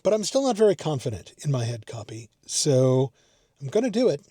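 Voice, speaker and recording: A man with a slightly higher-pitched voice and a relaxed, mellow tone talks off the cuff to his vlog. He rambles, thinks aloud and pokes fun at himself. The sound is very dry, recorded inside a parked car. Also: a little fast, husky, no echo. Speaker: amateur radio vlogger. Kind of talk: casual unscripted vlog talk.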